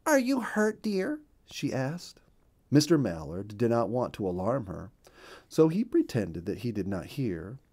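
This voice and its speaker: A man narrating a children's story as a storyteller, with emphatic, deliberate phrasing. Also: on the low side, big pitch swings, warm tone.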